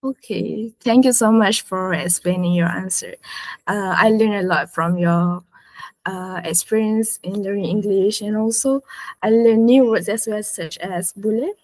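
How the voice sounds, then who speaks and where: A woman's voice: medium pitch, a little slow, darkish tone, no room sound, poor audio. Woman interviewer politely wrapping up. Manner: polite, thanking, repeating fillers. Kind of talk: closing an interview.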